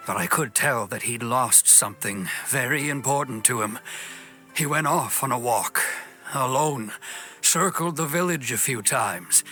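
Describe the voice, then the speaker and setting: An actor playing a concerned, thoughtful male villager in a video game, speaking earnestly and in measured phrases. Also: mid-range voice, pushed and bright. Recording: music under the voice.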